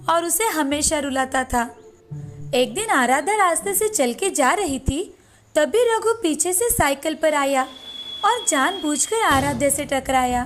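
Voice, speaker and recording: A woman's voice: high-pitched, a little hiss or hum. A woman reading a scripted children's story as narrator. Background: faint music.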